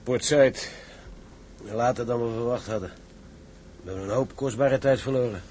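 An actor playing a sober, worried tugboat officer in a radio drama. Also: male voice, mid-range voice, moderate pace, a little mellow, a little hiss or hum, lightly acted. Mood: resigned.

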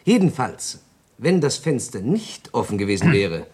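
A man playing a sharp-witted police assistant in a German crime radio drama, matter-of-fact and pointed, with a husky voice. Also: medium pitch, sing-song, normal speed.

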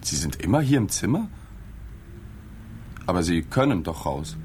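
A man's voice: deep voice, big pitch swings, normal speed, darkish tone, slight background noise. An actor playing a reserved male journalist in a radio play, narrating and asking questions in a sober, understated way. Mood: tentative, inquiring. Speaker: narrator and reporter figure.

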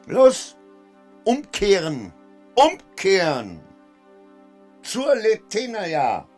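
An actor playing a nervous, vain petty official who talks to himself in an agitated, self-justifying way in a radio play. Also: male voice, high-pitched, huge pitch swings, drawn-out words, a little mellow, faint music behind, full character voice. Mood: frantic urgency. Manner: agitated, self-justifying, swinging between muttering and outbursts. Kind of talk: radio play acting, inner monologue.

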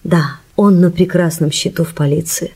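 A woman's voice: on the low side, fairly even intonation, normal speed, a little mellow, breathy edge. An actress playing a gracious, composed society woman of nearly sixty in a radio play. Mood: confident, fond. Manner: friendly, composed. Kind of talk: Russian radio play acting. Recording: faint music behind the voice.

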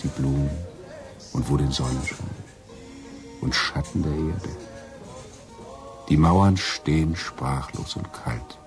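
An actor playing a charming, melancholic middle-aged lover in a radio drama, flattering and teasing in a theatrical way. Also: male voice, a bass voice. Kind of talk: radio drama acting, intimate dialogue.

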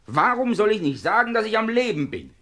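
Anxious, animated, bright-voiced male film star. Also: sits high, a little fast, full character voice. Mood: alarmed. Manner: protesting, doubtful, theatrical. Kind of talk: German radio crime drama acting.

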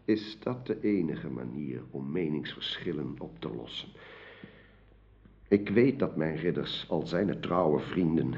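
An actor playing an earnest, idealistic young king in a radio drama, impassioned and questioning, with a gravelly voice. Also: rumbling low, expressive, measured pace, darkish tone, heavily acted. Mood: troubled. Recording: dull, lo-fi radio play recording.

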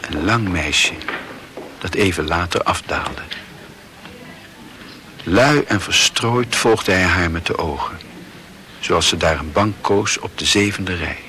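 A man's voice: low-pitched, slow, pauses often, pushed and bright, slight background noise. A man narrating a literary radio play as a scripted storyteller.

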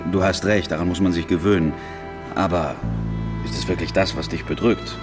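Casting: male actor as caring imam, low-pitched and calm, guitar-like music underneath. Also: moderate pace, natural voice. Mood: calm, concerned. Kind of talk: German radio drama acting.

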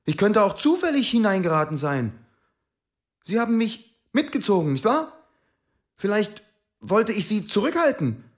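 A man's voice: sits high, very animated, normal speed, pauses often. An actor playing a troubled man who questions and justifies himself defensively.

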